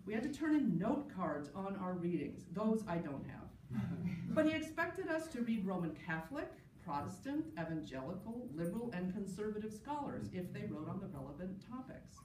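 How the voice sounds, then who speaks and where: A woman's voice: medium pitch, sing-song, moderate pace, darkish tone. Woman speaking in a roomy, noisy space. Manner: wry, anecdotal, amused asides. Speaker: panel speaker, New Testament scholar. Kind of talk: personal tribute talk at an academic conference, part read from notes. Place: large indoor conference session room. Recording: roomy, distant mic, clear background noise.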